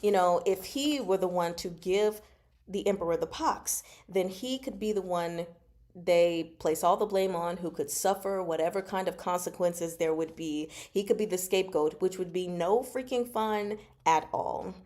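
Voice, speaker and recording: A woman giving a casual, chatty book recap as a host, expressive and emphatic, often checking in with the listener. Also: lowish voice, normal speed. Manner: expressive, conversational, emphatic, often checks in with "okay?" and "you know". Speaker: host of a book discussion vlog.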